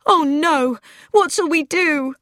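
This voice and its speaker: A woman's voice: animated, takes it slowly, no echo, studio quality. A woman voicing an anxious, tearful woman crying out in an audiobook mystery. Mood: alarmed, distressed. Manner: high, crying out.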